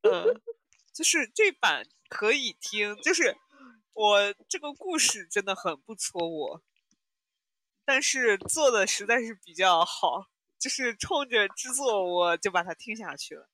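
A woman's voice: mid-range voice, expressive, unhurried, sharp tone, no echo. A woman co-hosting a podcast, chatting casually about audio dramas in a playful, teasing way. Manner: playful, giggly, teasing storytelling. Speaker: podcast co-host.

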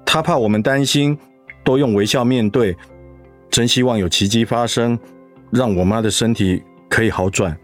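A man voicing a dutiful middle-aged son and schoolteacher in a radio drama, speaking in a steady, subdued way. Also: mid-range voice, normal speed, soft background music, lightly acted. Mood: somber.

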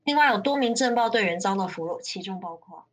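A woman acting a composed faction leader and narrator in a radio drama, measured and detached in delivery. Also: medium pitch, expressive, background noise, lightly acted. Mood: formal.

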